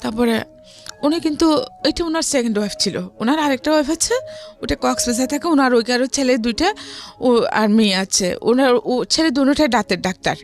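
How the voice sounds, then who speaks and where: A woman with a Bangladeshi accent tells a personal story in a conversational way, as an interview guest. Her voice is high, and soft music plays behind her. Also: a little fast.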